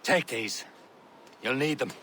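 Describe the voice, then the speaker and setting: An actor playing a steady, commanding sailor and peacemaker in a video game. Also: male voice, mid-range voice, takes it slowly, slight background noise.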